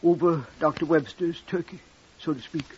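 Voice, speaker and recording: A male actor as a folksy, suspicious janitor from 1950s radio drama. His voice sits on the high side, colloquial and rambling, full of insinuation. The recording is muffled with faint noise. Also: moderate pace, close to an everyday voice. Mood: sly, confiding. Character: folksy, suspicious, talkative working man, a janitor.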